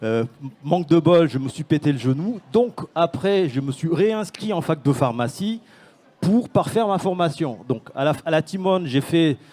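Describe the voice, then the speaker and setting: A man's voice: fairly high pitch, lively intonation, normal speed, mellow. Hesitant Senegalese-born man on a panel. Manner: hesitant, many fillers and restarts. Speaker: startup founder. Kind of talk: panel talk.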